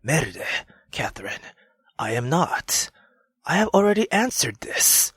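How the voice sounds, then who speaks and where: A weary aristocratic husband from a visual novel, acted by a woman, pitched low and held back. He sounds exasperated and defends himself with strained restraint, close and dry. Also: big pitch swings, takes it slowly, breathy edge, dry recording, exaggerated voice. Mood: weary, irritated.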